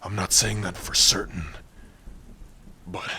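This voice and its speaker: A man acts out a protective orc lover in an ASMR roleplay. The voice is low and forceful, yet hushed and tender, speaking closely to one listener with halting earnestness. Faint rain noise sits behind it. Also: level tone, full character voice. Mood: protective, grim. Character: protective male orc warrior lover, gruff but tender.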